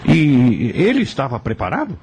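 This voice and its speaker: A man's voice: mid-range voice, wildly swooping pitch, moderate pace. A man playing an inquisitive scholar in a radio drama, earnestly asking questions about the past. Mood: curious. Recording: music under the voice.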